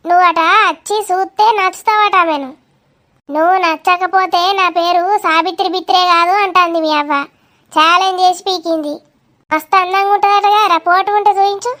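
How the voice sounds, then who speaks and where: A woman's voice: very high voice, steady tone, normal speed, pushed and bright. A woman voicing a nosy, grumbling village aunt in a cartoon comedy, with a Telangana Telugu accent and a blunt, gossipy way of talking. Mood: teasing, prying.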